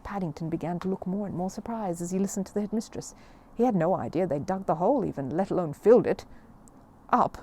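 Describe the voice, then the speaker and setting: A woman narrating a children's storybook in an expressive audiobook style. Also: on the low side, fast.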